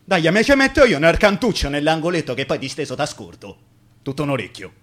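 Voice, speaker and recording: An actor with a Roman accent playing the colloquial ghost of a railway digger killed at work, in Italian radio theatre. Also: male voice, a little high, expressive, fast talker, fairly bright tone. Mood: teasing, bitter. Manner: colloquial, plain-spoken. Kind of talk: Italian radio theatre acting in verse-like dialect dialogue.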